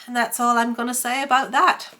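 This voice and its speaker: A woman's voice: fairly high pitch, little pitch movement, fairly quick, sharp tone. A woman with a congested, head-cold voice, chatting casually as the host of a sewing vlog while feeling under the weather. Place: home sewing room.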